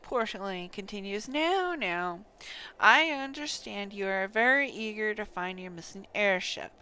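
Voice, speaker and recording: A woman livestreaming a game playthrough, reading dialogue aloud in a theatrical, deliberate way with muttered asides. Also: medium pitch, lively intonation, bright, forceful tone, clean tone, poor audio. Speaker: game streamer.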